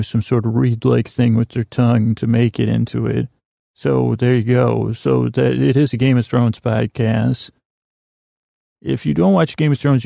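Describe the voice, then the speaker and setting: Gravelly, rambling male podcast host. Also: medium pitch, warm tone, no room sound, clean studio sound. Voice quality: creaky, gravelly. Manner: hesitant, stammering, self-interrupting, digressive. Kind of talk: rambling, meandering solo podcast monologue.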